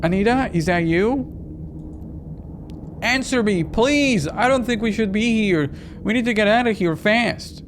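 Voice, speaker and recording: Casting: performer playing a scared big sister in a horror game, pitched well up, desperate and urgent, faint noise behind. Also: a little slow, lightly acted. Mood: frightened, calling. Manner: urgent, pleading exclamations. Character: frightened young woman, desperate, protective big sister.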